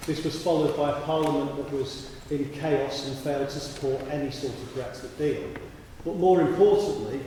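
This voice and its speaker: A man's voice: medium pitch, darkish tone. Guy campaigning hard at a hustings, mid voice, far off mic, super echoey hall. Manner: brisk, assertive campaigning. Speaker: parliamentary candidate. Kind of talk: hustings speech. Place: large church hall. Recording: distant, echoey hall with background hiss.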